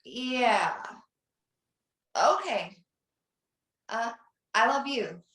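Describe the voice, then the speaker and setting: Woman acting bright, lilting, affectionate mother. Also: on the high side, lively intonation, extremely slow, exaggerated voice. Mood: warm, excited. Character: sweet, affectionate mother chatting on phone. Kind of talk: table read of a film script over video call. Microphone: video call. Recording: roomy, echoing video call audio.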